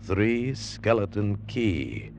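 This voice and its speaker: A man announcing an old-time radio show in a dramatic, deliberate scripted style. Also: fairly low pitch, expressive, darkish tone, a little hiss or hum. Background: dramatic music.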